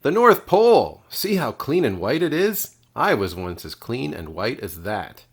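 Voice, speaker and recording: A man voicing an excitable young male polar bear cub in a storybook. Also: mid-range voice, measured pace, husky, voice pushed up, heavily acted. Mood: thrilled.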